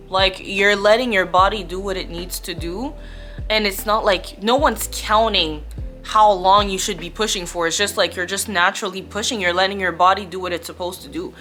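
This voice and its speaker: A young adult woman speaking as a vlogger in a casual storytime, candid and chatty with expressive emphasis. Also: mid-range voice. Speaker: vlogger telling her birth story. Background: background music.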